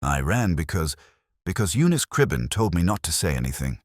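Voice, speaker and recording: A man's voice: low voice, very animated, brisk, no room sound, studio quality. A man voicing a panicky, defensive publican who pleads and protests in a spoken story.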